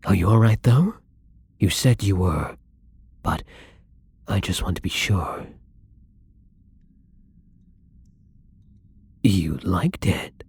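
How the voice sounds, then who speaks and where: A man playing a newly turned, gentle and hesitant vampire in an intimate ASMR roleplay, speaking softly and haltingly with frequent whispers. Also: low-pitched, animated, full character voice. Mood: awed. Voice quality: breathy, husky. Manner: soft, halting, often dropping into whispers.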